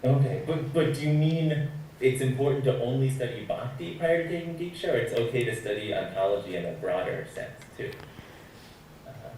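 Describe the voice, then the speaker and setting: A man's voice: mid-range voice, animated, fairly quick, mellow. A man asking a teacher questions as a student, careful and hesitant, with roundabout phrasing, distant in an echoey space. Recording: echoey, distant, faintly noisy microphone.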